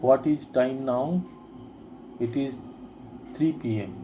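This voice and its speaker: A man teaching a spoken lesson, reading each drill sentence twice with deliberate care. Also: medium pitch, dark tone, a little hiss or hum. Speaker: English teacher. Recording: crickets and insects chirping behind.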